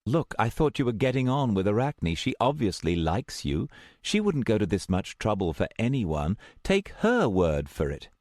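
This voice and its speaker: A man's voice: on the low side, very animated, normal speed, a little mellow, dry recording, studio quality. A man playing an exasperated, protective man in an audiobook story, insistent and reasoning with a snarky streak. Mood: reassuring, snarky. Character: exasperated, protective man who can see the future.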